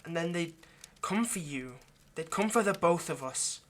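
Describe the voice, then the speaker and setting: A man voicing an ageless vampire lover in an intimate audio roleplay, possessive yet remorseful, speaking in a halting, earnest, pleading way. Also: fairly high pitch, expressive, natural voice. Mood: ominous warning. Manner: halting, earnest, pleading, dropping to near-whisper.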